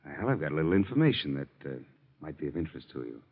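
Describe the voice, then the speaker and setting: A muffled vintage radio recording carries the very deep voice of a sly chauffeur. He insinuates and threatens coolly, in slangy phrases, like a blackmailer who knows he holds the cards. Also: sing-song, fast talker, heavily acted. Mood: smug, sly. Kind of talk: old-time radio drama acting.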